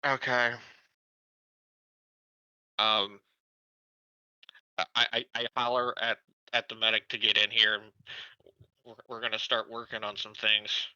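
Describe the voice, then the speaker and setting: A man chatting casually as a player in a tabletop roleplaying game, halting and joking as he speaks for his character. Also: lowish voice, little pitch movement, pushed and bright, clear, resonant tone, no echo, dull audio.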